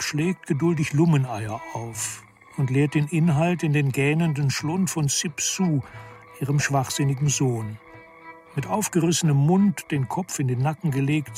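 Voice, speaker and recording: An actor as a detached literary narrator in a radio drama, reading scripted narration in a measured, descriptive way. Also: male voice, fairly high pitch, a little mellow, natural voice.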